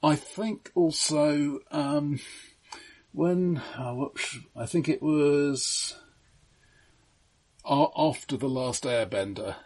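Husky man in casual podcast chat. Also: fairly high pitch, a little mellow, dry recording. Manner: halting, repeats opening words. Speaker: podcast co-host. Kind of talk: casual film discussion.

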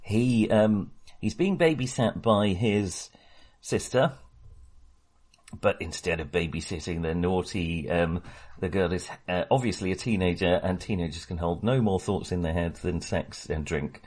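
A man's voice: sits low, measured pace, darkish tone. A man co-hosting a casual film discussion, talking in a hesitant, wry and opinionated way. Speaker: podcast co-host.

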